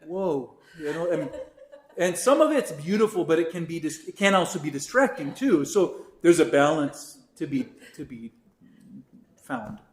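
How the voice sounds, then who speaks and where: Chatty priest in an echoey room. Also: male voice, on the high side, moderate pace. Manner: chatty, relaxed teaching with frequent "you know" asides. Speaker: priest teaching a catechism class. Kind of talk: informal class talk. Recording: roomy echo.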